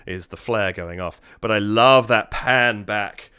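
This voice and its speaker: A man with a low, smooth voice, slightly stuffy from a cold, talking through music he admires in a casual, thinking-aloud way. He is recorded very dry and close, with no room sound. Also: takes it slowly, sharp tone, no echo. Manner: admiring, thinks aloud, hums melody lines. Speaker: reaction video host. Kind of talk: casual music analysis commentary.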